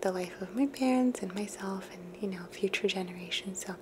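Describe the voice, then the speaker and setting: A woman hosting an ASMR video, talking in a soft, unvoiced whisper and musing thoughtfully as she answers viewers' questions. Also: mid-range voice, fairly quick, noisy background. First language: English. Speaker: ASMR host.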